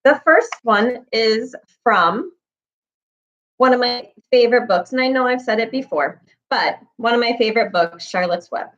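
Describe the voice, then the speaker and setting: High-voiced woman reading a children's story. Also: lively intonation, normal speed, a bit bright, highs cut off. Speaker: read-aloud reader. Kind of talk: children's storybook read-aloud.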